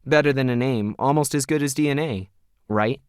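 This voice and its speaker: A man's voice: mid-range voice, normal speed, clear, resonant tone, no room sound, studio quality, close to an everyday voice. A man voicing a cold, arrogant rich villain in an audio story, pompous, sly and goading. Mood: smug, veiled threat. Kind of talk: audio fiction character dialogue.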